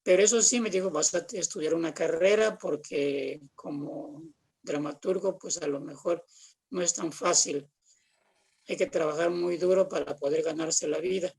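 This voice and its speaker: Mexican man, seventies, chatty storyteller as interview guest, gravelly husky voice. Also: a little high, fairly even intonation, moderate pace, a little mellow. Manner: chatty storytelling with acted-out quotes. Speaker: guest theatre practitioner. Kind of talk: casual anecdotal interview talk.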